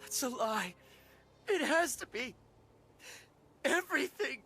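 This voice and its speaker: A man playing an earnest, emotionally fragile young male alien in a video game cutscene, speaking in a halting, heartfelt way. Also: extremely high pitch, animated, pushed and bright, full character voice. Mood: desperate denial. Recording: game music behind the voice.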